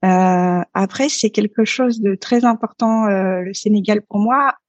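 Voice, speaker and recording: A woman's voice: mid-range voice, unhurried, no echo, highs cut off. A French woman in her thirties, a podcast guest talking casually and hesitantly, with many fillers and restarts. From France. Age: 30s. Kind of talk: casual interview talk.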